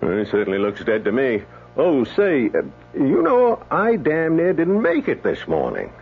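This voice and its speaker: An actor playing a blunt, chatty doctor in a radio drama, with a folksy, exclamatory storytelling manner. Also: male voice, mid-range voice, huge pitch swings, moderate pace, vocal fry, breathy edge, faint music behind, heavily acted. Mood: brisk, businesslike. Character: blunt, chatty male doctor telling a tall tale. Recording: dull old radio recording, faint hum.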